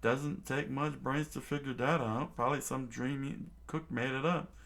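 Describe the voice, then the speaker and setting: A man voicing a gruff ship's chef who scoffs at a boy's dreams and gives orders, lecturing in a raised voice. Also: medium pitch, fairly even intonation, moderate pace, fairly bright tone, pitched above the performer's own voice, natural voice. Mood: scoffing. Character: gruff older male ship's chef, mocking and bossy.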